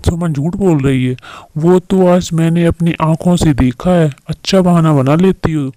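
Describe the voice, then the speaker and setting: A man plays a stern cartoon showroom manager who scolds and lectures. His voice is fairly high for a man and mellow in tone. It is recorded dry and close. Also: moderate pace, dry recording, lightly acted. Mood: scolding. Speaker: voice actor in a cartoon story. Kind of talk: Hindi moral-story cartoon dubbing.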